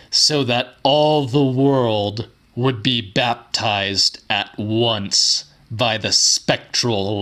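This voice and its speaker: A male narrator reads weird fiction as a dramatic audiobook. His medium-pitched voice moves very slowly, with a measured, formal and theatrical delivery. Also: fairly bright tone. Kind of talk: dramatic scripted narration of a weird horror story.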